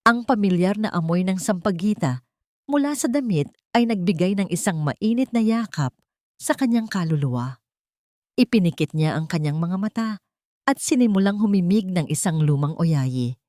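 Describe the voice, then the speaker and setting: A woman's voice: fairly low pitch, animated, normal speed, a little mellow, no room sound, studio quality. A woman with a Filipino accent reading a dramatic scripted story as a storyteller.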